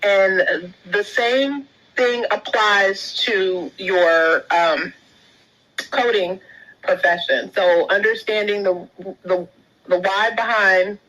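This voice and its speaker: A woman hosting a live audio chat, relaxed and encouraging, laughing easily as she invites listeners in. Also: medium pitch, takes it slowly, muffled recording. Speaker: host.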